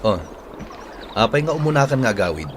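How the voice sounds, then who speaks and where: A man's voice: mid-range voice, big pitch swings, normal speed, background noise, lightly acted. A man playing a devoted, reassuring romantic lead in a radio drama. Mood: teasing.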